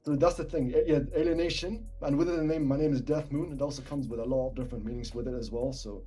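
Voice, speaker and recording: A man's voice: medium pitch, fairly even intonation, fast talker, slightly rough. A young man from Palestine with a British accent, raised speaking Arabic too, talking casually as a rapper guest, a little mumbly and swallowing words. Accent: British English. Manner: soft-spoken, slightly swallowed words. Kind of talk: casual podcast interview. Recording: faint background music.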